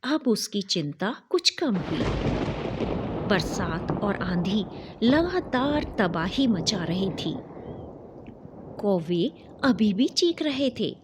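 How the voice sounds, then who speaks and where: A woman narrating a children's folk tale in a deliberate, dramatic storytelling style. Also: medium pitch, sing-song, background noise. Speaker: storyteller and podcast host. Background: music behind the voice.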